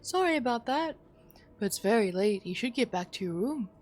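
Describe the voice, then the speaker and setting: A woman voicing a polite, mildly flustered adult who fusses gently, in a visual novel. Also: a little high, lively intonation, moderate pace, soft background music. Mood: concerned.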